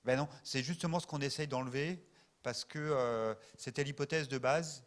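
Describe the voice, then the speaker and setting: A man's voice: mid-range voice, brisk. A man answering an audience question, thinking aloud and explaining step by step. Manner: thoughtful, hedging, explaining step by step.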